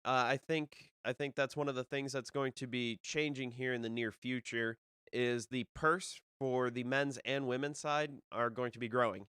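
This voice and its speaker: A man's voice: mid-range voice, steady tone, moderate pace, fairly bright tone, clear, resonant tone, dry recording, clean studio sound. A young adult man hosting a disc golf show on a live stream. He sounds a little nasal from a cold and talks in a loose, rambling way, full of fillers and restarts. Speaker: disc golf podcast host. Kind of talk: casual solo live-stream talk.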